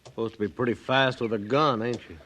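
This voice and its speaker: An actor playing a blustering, menacing Old West sheriff with confrontational, folksy speech in a radio western. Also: male voice, medium pitch, steady tone, a little fast, fairly bright tone, close to an everyday voice. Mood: threatening. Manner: confrontational, folksy frontier grammar. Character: menacing, crooked, blustering male frontier sheriff. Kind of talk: 1950s radio western acting. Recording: vintage radio drama recording.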